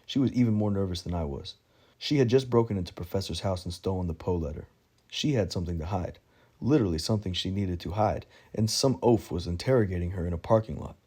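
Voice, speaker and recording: Male audiobook narrator, low and mellow, dry self-deprecating wit. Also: fast talker, breathy edge. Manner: wry, self-mocking storytelling. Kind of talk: first-person audiobook narration.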